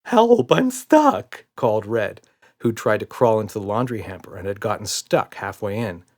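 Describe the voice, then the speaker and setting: A man's voice: fairly low pitch, steady tone, a little fast, a little mellow. A man reading a children's audiobook as narrator, in scripted storytelling style.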